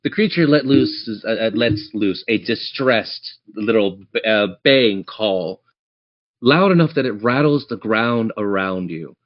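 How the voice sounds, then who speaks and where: A man's voice: fairly low pitch, lively intonation, a little slow, highs cut off. A man running a tabletop game as game master, narrating scenes descriptively and speaking straight to his players. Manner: descriptive, addresses players directly.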